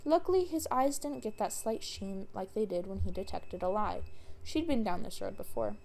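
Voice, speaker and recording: A woman reads fiction aloud expressively, her mellow voice edged with creak. There is faint noise behind her on the recording. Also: medium pitch, big pitch swings, normal speed. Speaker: fan fiction reader. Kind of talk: expressive audiobook-style story narration.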